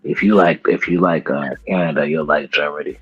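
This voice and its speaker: Husky man on dull, dry audio. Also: rumbling low, brisk, no echo. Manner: relaxed, slangy, stumbling restarts. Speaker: interview host. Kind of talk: casual interview chat.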